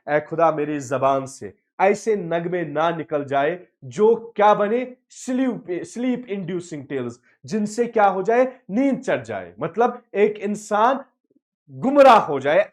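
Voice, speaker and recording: High-voiced male teacher explaining a poem. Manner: reads each English line slowly, then explains at length; drops low and soft at the end of each prayer line. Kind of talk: line-by-line poem explanation lecture.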